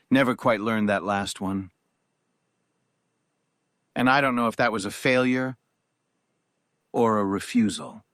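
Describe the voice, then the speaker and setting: An actor playing a grieving, reserved man from a powerful family, giving a solemn eulogy in measured, halting phrases. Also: mid-range voice, a bit bright, dry recording, studio quality. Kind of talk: solemn eulogy in audio drama.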